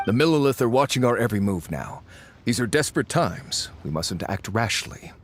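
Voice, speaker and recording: An anxious, lively townsman. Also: medium pitch, normal speed, husky. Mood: concerned. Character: worried male townsman, cautious and talkative. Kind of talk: video game voice acting. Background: faint game music.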